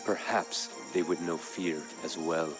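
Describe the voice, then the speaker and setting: A man playing a proud, somber Aztec emperor, telling of his people's war in grave, measured, formal game narration. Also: deep voice, level tone. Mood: grim, vengeful. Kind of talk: solemn scripted narration in character. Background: music.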